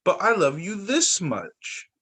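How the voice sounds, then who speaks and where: A man's voice: high voice, huge pitch swings, slow pace, bright, forceful tone, slightly rough, voice pushed up, exaggerated voice. A man voicing a big, loving grown-up male hare from a storybook, in a tender, emphatic way.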